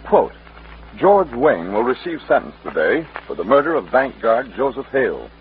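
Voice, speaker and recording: A man's voice: mid-range voice, very animated, normal speed, a little hiss or hum. Tinny old-time radio western announcer, male. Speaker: announcer and narrator. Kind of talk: dramatic 1940s-50s radio western narration.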